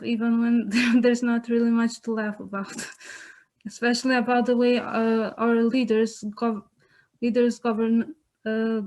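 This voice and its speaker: A woman from Kosovo speaks informally as a playwright introducing her work. Her voice is on the high side and stays fairly even. She goes very slowly and haltingly, searching for the next phrase. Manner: hesitant, halting. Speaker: playwright introducing own play.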